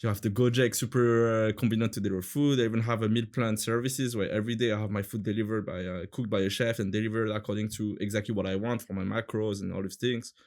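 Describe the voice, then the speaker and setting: A man's voice: on the low side, steady tone, fast, hardly any pauses, a little mellow. A man in his thirties from France with a French accent, chatting casually as an interview guest, searching for words with many fillers and restarts.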